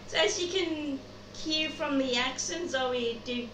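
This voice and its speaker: A woman's voice: high-pitched, takes it slowly. A woman hosting an interview. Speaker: interview host. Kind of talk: scripted introduction and casual interviewing. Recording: noisy, echoey room, distant mic.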